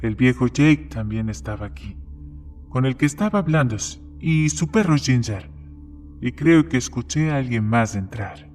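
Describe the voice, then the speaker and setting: A man's voice: medium pitch, moderate pace, a little mellow, natural voice. Man acting a bartender storyteller over music. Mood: matter-of-fact. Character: plain-spoken bartender telling a grim local horror tale. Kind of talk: dramatized short-story reading. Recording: music behind the voice.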